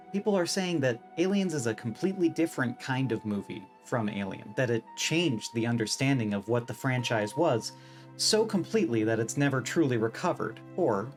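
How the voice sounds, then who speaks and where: A man narrating a scripted video essay in an emphatic, wry way, leaning on deliberate repetition. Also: mid-range voice, animated, faint music behind.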